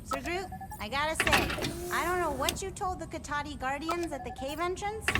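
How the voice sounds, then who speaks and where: A woman's voice: high-pitched, normal speed, very noisy, close to an everyday voice. An actress playing a quirky, otherworldly bug-like alien woman in a video game, whimsical and earnest. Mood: cheerful, odd.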